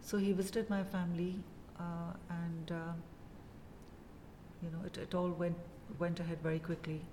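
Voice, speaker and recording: A woman in her fifties from India with an Indian English accent, telling her story as a guest in a casual interview, measured and full of pauses. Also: on the low side, level tone, mellow, big-room sound, slight background noise. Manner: measured, many pauses and "uh" fillers.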